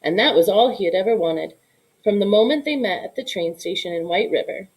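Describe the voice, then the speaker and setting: A woman reading a children's picture book aloud in an expressive storytelling style. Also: medium pitch, brisk, low-quality recording. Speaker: storybook reader.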